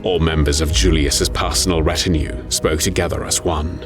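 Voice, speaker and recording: Very low-voiced man narrating dramatic fiction over music. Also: steady tone, moderate pace. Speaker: narrator of audio story. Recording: music under the voice.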